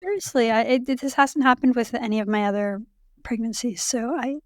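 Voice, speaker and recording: A woman in her thirties hosting a casual podcast chat, her voice raspy and hoarse from late pregnancy, talking in a chatty way with many fillers. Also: fairly high pitch, fairly quick, darkish tone, no room sound, studio quality. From Canada. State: heavily pregnant, voice going hoarse. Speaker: podcast host.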